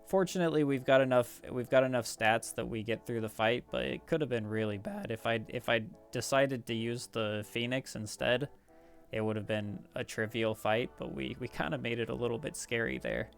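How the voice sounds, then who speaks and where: A man's voice: mid-range voice, creaky. A man casually commentating on his gameplay, talking through strategy in a hesitant, rambling way. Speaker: gaming video host. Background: background music.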